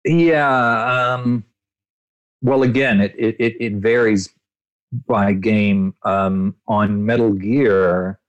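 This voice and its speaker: A male voice actor being interviewed, telling expansive, animated anecdotes with frequent restarts. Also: fairly low pitch, drawn-out words, no echo, lo-fi sound. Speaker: voice actor guest. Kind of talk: interview answers, storytelling.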